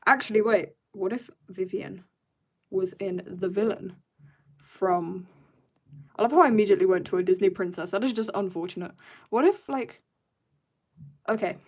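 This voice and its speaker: Young woman, art streamer, casual chat, rambling, self-mocking, quiet mutters while concentrating. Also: mid-range voice, normal speed, long pauses.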